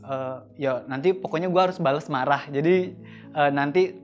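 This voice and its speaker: A man in his twenties giving casual, somewhat halting answers in an interview. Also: fairly high pitch, highs cut off. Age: 20s. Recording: faint guitar music behind. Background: soft guitar music.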